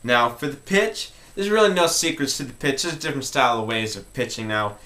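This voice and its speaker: A man's voice: mid-range voice, animated, brisk, pushed and bright. A man talking informally as he hosts an instructional music tutorial.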